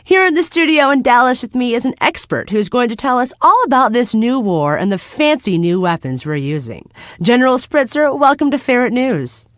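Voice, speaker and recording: An actress playing a chirpy, uncritical television news anchor in a parody newscast. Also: female voice, a little high, sing-song, normal speed, a bit bright, no echo, studio quality.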